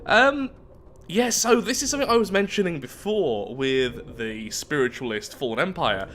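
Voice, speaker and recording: A man's voice: on the high side, normal speed, a bit bright, slight background noise. A man giving chatty roleplay commentary on a strategy game, thinking aloud as he goes. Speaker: gaming YouTuber host.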